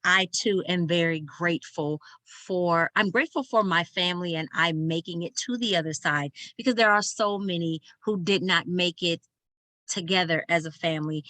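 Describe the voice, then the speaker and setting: A woman's voice: lowish voice, sharp tone, no room sound. A woman hosting a podcast, speaking earnestly and emphatically in flowing, repeated phrases. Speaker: podcast host. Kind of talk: upbeat podcast hosting, speaking of gratitude and introducing a guest.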